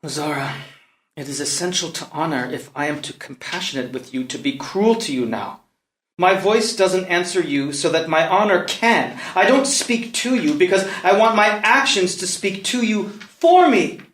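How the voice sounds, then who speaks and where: An actor playing a tormented, passionate young prince in a classical stage drama, declaiming and brooding between hushed lines and outcries. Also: male voice, high-pitched, moderate pace. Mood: pained restraint. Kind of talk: classical stage soliloquy, unrehearsed read. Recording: roomy echo around the voice.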